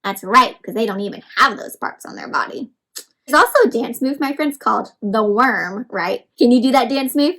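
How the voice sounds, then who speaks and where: A woman with a high voice reads a picture book aloud to young children. She speaks like an encouraging teacher, talking straight to her listeners, asking them questions and explaining words simply. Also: lively intonation, normal speed, bright, forceful tone, rough recording. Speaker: read-aloud storyteller for children.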